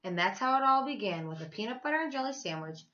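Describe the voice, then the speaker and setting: A woman teacher reading a children's story aloud, with expressive, emphatic delivery and drawn-out words. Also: fairly high pitch, muffled recording.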